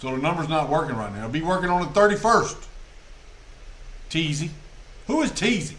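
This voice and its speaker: A man's voice: fairly high pitch, big pitch swings, fairly quick, a bit bright. A man hosting a livestream, making crude, mocking, jokey commentary. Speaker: livestream host. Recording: roomy echo.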